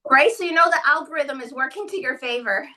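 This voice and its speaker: A bright, slightly high-pitched woman hosts with effusive enthusiasm. Her voice sits a little away from the microphone, carrying a lively, eager lilt. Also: fast talker, far from the mic. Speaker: podcast host. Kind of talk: upbeat interview hosting.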